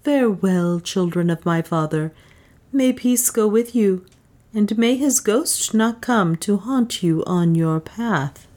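A woman's voice: mid-range voice, expressive, slow pace, a little mellow, voice pushed up. Young woman, proud prophetess, stately cadence, read by a woman narrator. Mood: scornful.

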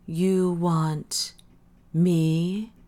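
A woman voicing an anguished man in a rapturous religious confession, slow, quavering and reverent, in a storybook reading. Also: lowish voice, level tone, dark tone. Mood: anguished disbelief. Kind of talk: dramatic audiobook character reading.

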